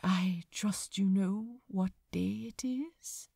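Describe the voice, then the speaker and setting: Woman doing a gentle wistful angel guy in an audiobook, hushed and breathy, takes his time. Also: mid-range voice, slow, no room sound, studio quality, exaggerated voice. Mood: tentative. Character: gentle, wistful male angel, tender toward his friend.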